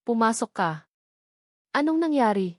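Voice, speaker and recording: A woman's voice: fairly high pitch, big pitch swings, unhurried, no room sound, studio quality. A woman voicing a concerned, protective young man in a romance story. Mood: urgent concern.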